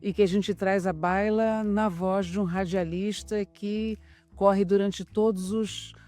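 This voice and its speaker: A woman's voice: medium pitch, fairly even intonation, slow, warm tone. Brazilian woman explaining over faint music. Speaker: radio drama writer. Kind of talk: interview explanation.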